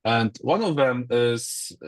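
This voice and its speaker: Polish-accented man, halting and dry. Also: mid-range voice, no echo. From Poland. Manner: halting, many restarts and fillers. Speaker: entrepreneur guest. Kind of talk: casual video-call introduction. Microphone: video call.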